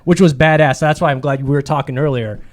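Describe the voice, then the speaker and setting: A man's voice: on the high side, steady tone, fast. A man in his forties hosting a comedy podcast, talking in a rambling, self-deprecating way with lots of fillers and restarts. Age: 40s. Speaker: podcast host. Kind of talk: casual comedy podcast chat.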